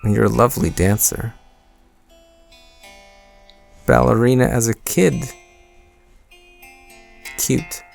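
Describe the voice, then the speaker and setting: A man's voice: medium pitch, measured pace, vocal fry, a little mellow, soft background music. A man playing a shy, smitten young man in an intimate boyfriend roleplay audio, speaking hesitantly with bashful stammers. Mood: flustered, playful.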